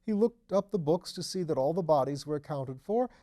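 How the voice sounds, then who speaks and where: A fussy, deferential gentleman in a storybook, acted out by a male reader, pitched well above an ordinary man's talk. He rambles on nervously and is quickly flustered, with raised, emphatic delivery. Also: lively intonation, lightly acted. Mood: earnest, chatty. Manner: agitated, chatty, deferential, rambling. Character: nervous, obliging, fussy middle-class Englishman, easily agitated. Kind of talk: audiobook character voice.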